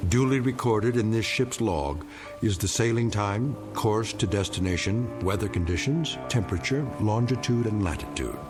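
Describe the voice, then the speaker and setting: A man narrating an eerie radio drama in a grave, measured, portentous manner. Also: on the low side, close to an everyday voice. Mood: grave, matter-of-fact. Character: grave, ominous male narrator of eerie tales. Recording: music bed under the voice.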